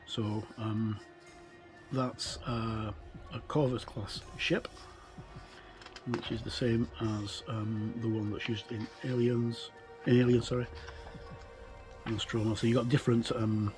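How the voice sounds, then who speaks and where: A man's voice: mid-range voice, level tone, music in the background, low-quality recording. A middle-aged man giving a casual walkthrough commentary on a book, hesitant and often correcting himself. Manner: hesitant, halting, self-correcting. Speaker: game reviewer.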